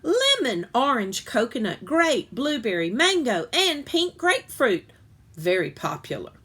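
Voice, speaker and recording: A woman's voice: a little high, huge pitch swings, a little slow, sharp tone, husky, voice pushed up, heavily acted. A woman voicing a nervous, eager male shoe salesman who gets exasperated, in a read-aloud children's story. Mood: eager, salesy.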